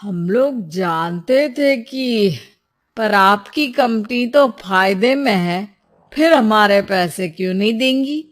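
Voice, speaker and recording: A woman's voice: mid-range voice, animated, takes it slowly. A woman playing scoffing, doubtful naysayers in a moral tale, with a scolding, challenging manner. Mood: indignant.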